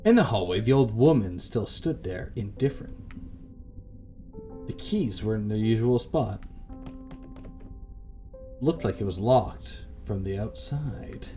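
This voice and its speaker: A man's voice: on the low side, lively intonation, slow pace, pauses often, dark tone. A man reading a visual novel aloud as a let's play host, with casual, irreverent, slangy commentary. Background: music.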